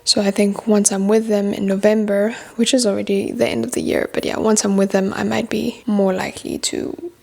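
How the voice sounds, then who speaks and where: A woman's voice: medium pitch, level tone, moderate pace, gravelly, dark tone. A young woman whose mother tongue is French, chatting casually about her reading and restarting sentences as she thinks aloud. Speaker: book vlogger. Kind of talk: casual book review chat.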